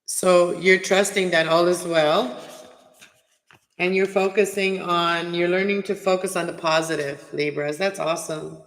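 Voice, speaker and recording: Guy reading tarot with a scratchy sore throat, kinda high voice, talks you through it nice and reassuring. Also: steady tone, unhurried, fairly bright tone. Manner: chatty, reassuring, many filler phrases. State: raspy, throat strained. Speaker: tarot reader. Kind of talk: casual livestream tarot reading. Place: home, cold room. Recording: echoey room with long reverb.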